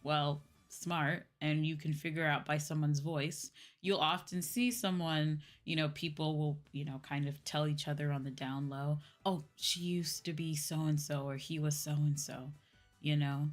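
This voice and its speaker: A woman's voice: low-pitched, fairly even intonation, measured pace. A woman streamer chatting casually with her viewers, explaining in an informal, repetitive way and often checking in with "right?". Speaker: streamer, VTuber host. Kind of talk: casual livestream chat answering viewers. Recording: faint background music. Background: faint music.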